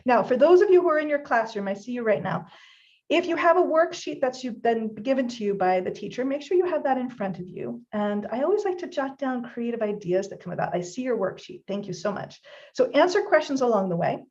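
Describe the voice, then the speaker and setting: A woman with a somewhat high voice hosts a live online event. She greets viewers brightly and speaks right to them. The sound is muffled, like stream audio. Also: expressive, brisk. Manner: upbeat, addresses audience directly. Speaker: host. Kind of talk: live-streamed webinar hosting.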